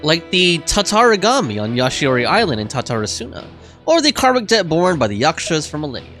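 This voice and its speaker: A man's voice: a little high, very animated, fairly quick, bright, forceful tone, faint music behind. A man reacting casually to a video game as he plays, giving off-the-cuff commentary. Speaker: gamer commentating on a playthrough.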